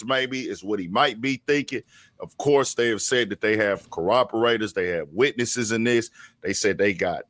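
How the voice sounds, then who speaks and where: A man's voice: fairly low pitch, a bit bright, no room sound. A man hosting a talk show, giving casual, blunt, slangy street-style commentary on the news. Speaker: host commentator.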